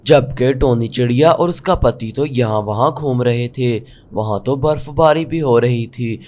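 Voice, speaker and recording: A man's voice: mid-range voice, unhurried, darkish tone. A man with a mid-range voice serves as the narrator of a cartoon tale. He reads scripted story narration for children.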